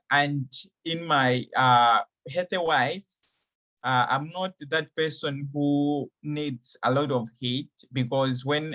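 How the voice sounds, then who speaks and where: A young man from Malawi, a climate activist, answering interview questions in a halting way with many fillers and restarts. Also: mid-range voice, bright, forceful tone, low-quality recording.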